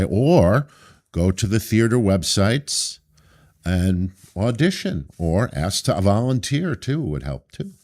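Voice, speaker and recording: A man from Brooklyn, a theater director being interviewed, with a deep voice and a thoughtful, storytelling manner over a video call. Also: darkish tone. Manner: thoughtful, anecdotal, hesitant starts. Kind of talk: casual interview.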